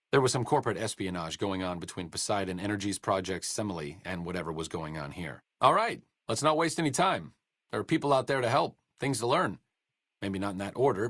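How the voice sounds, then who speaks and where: A bookish male doctor from a post-apocalyptic game, full of dry, self-mocking sarcasm. His voice sits a little low but rises and falls a lot as he teases. It is recorded close and dry, with no room sound. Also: a bit bright, dry recording. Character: sardonic bookish male wasteland doctor, adult. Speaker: game companion character. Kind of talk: video game voice acting.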